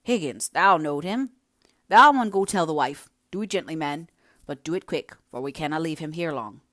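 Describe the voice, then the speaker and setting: A woman's voice: low voice, lively intonation, normal speed, fairly bright tone, dry recording, clean studio sound. A woman voicing a blunt, practical working man who speaks emphatic northern dialect, in a storybook reading. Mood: urgent.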